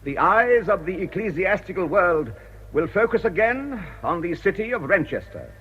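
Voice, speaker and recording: Careworn English canon, swooping formal voice. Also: male voice, high voice, sing-song, a bit bright, slightly rough, full character voice. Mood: solemn, ceremonial. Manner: formal, measured, clerical diction. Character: earnest, careworn ageing cathedral canon, weighed down by worry. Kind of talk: British radio drama acting. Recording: muffled old radio broadcast.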